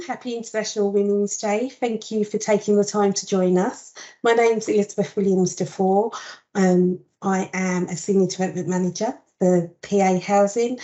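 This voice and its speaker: A woman introduces herself on a video call in a polite, formal way. Her voice is warm and mellow, and the call audio is dull and lo-fi. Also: mid-range voice. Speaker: panel speaker. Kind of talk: brief self-introduction on a call.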